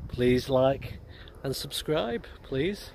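A man in his forties talking casually and haltingly in an outdoor vlog. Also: mid-range voice, expressive, gravelly, darkish tone. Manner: halting, hesitant delivery. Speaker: amateur radio vlogger. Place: outdoors on an open hillside. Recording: noisy outdoor ambience.

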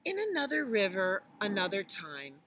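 A woman voicing a gentle mother elephant, patiently teaching her little one in a children's storybook. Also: medium pitch, wildly swooping pitch, normal speed, a little hiss or hum, voice pushed up. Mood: gently encouraging. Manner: patient, instructive.

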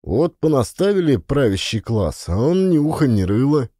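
A man's voice: mid-range voice, big pitch swings, moderate pace, dark tone, dry recording, studio quality, voice pushed up. A man voicing a gloomy working man in a prison camp, with weighty colloquial speech, in an audiobook.

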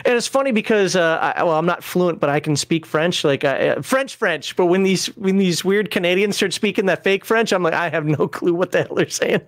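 A man's voice: high-pitched, brisk, hardly any pauses, no echo, clean studio sound. A middle-aged man hosting a casual livestream from home over a video call, reading chat messages and laughing often. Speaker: livestream host.

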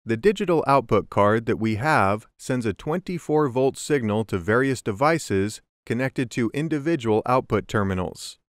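Male narrator for instructional voice-over. Medium pitch, scripted, orderly delivery, dry studio-style sound. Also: expressive, normal speed, few pauses, no echo. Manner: clear, methodical explaining. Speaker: tutorial narrator. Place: close, dry voice-over recording.

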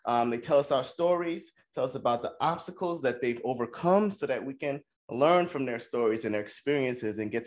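A man hosting a sports interview podcast, upbeat and joking as he reads out his guest's achievements. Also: mid-range voice. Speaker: podcast host. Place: indoor room with brick wall.

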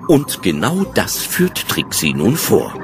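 A man's voice: low voice, wildly swooping pitch, slow, over loud music. A man narrating a children's audio drama as its storyteller.

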